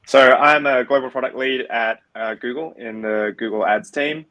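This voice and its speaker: A man's voice: mid-range voice, normal speed, sharp tone, no room sound. A man with an Australian accent, speaking as an invited guest in a webinar interview, friendly, with frequent ums. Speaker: guest from a tech firm.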